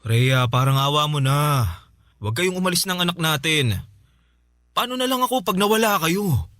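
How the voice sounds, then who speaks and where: A man's voice: a little high, animated, moderate pace, pushed and bright, no echo, studio quality. A Filipino actor playing a remorseful father back from abroad, imploring and apologetic in a radio drama. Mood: pleading. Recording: music bed under the voice.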